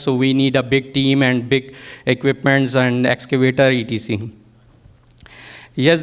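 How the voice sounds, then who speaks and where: Halting Pakistani man giving a technical presentation. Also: medium pitch, smooth. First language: Urdu. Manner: halting, hesitant, searching for words in non-native English. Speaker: technical product manager presenting a workshop.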